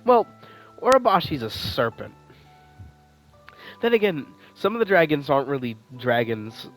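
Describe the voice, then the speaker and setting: A man's voice: a little high, big pitch swings, normal speed, poor audio. A man giving casual let's play commentary as a gaming streamer. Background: game music.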